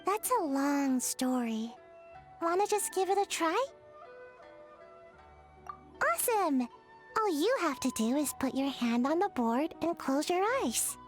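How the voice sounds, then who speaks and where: A woman's voice: high-pitched, animated, measured pace, faint music behind. A woman voicing a sleepy, lazy young ninja girl in a video game, hesitant and laid-back. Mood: drowsy, laid-back. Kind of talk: English video game voice acting.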